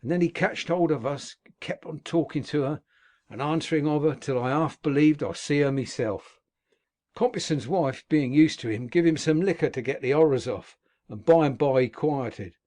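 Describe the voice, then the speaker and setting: A man playing a gruff old convict. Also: fairly high pitch, moderate pace, a little mellow, natural voice. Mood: grim recounting. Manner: earthy dialect speech, gruff storytelling. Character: rough old male convict telling his past. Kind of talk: audiobook character reading.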